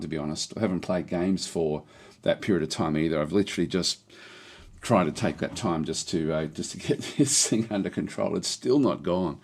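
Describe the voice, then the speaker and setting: A middle-aged man streaming a game, chatting casually with a slightly hoarse voice that is on the mend, rambling with many restarts. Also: sits low, darkish tone. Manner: rambling, halting, lots of restarts and fillers. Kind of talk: casual live-stream chat.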